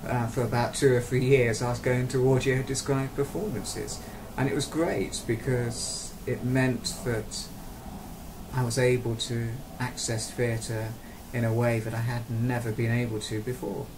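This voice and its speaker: Man, mid pitch, chatty personal account, faint background noise. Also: little pitch movement, a little slow. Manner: conversational, with fillers and restarts. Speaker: theatre-goer. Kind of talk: personal account told to camera.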